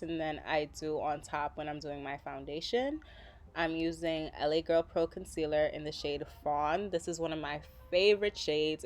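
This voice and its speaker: A woman with a somewhat low voice talks viewers through a makeup look. Her delivery is easygoing and chatty, full of casual fillers, like a vlogger narrating over her own footage. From Trinidad and Tobago. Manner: chatty, relaxed, with frequent fillers.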